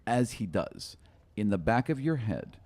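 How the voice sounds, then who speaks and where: A man's voice: fairly low pitch, very animated, measured pace, darkish tone. A middle-aged man casually narrating a tabletop game as game master, descriptive, on a close studio mic. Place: small indoor studio room. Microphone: close studio podcast mic with windscreen.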